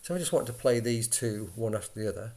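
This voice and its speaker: A man giving an informal software walkthrough, explaining step by step in a conversational way as an instructor. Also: mid-range voice, animated, fast.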